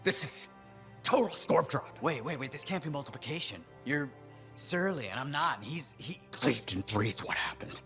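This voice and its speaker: Excitable, stammering eccentric inventor. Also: male voice, mid-range voice, moderate pace, soft background music. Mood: baffled panic. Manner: muttering, stammering, bickering with himself. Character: eccentric excitable male inventor scholar split into three squabbling selves. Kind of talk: cinematic video game acting.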